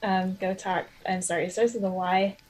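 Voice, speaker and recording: A woman playing a tabletop roleplaying game, improvising casual dialogue in a hesitant, halting way. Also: medium pitch, fairly even intonation, darkish tone.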